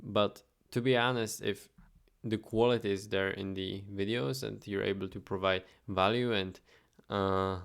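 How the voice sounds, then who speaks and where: Gravelly low-voiced man chatting casually. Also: measured pace, few pauses. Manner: relaxed, chatty, thinks aloud with fillers. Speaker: automation agency owner vlogging. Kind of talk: casual screen-share vlog.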